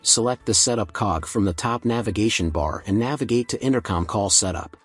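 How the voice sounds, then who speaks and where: A man reading a scripted software tutorial in a measured, procedural instructional manner. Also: on the low side, breathy edge, soft background music.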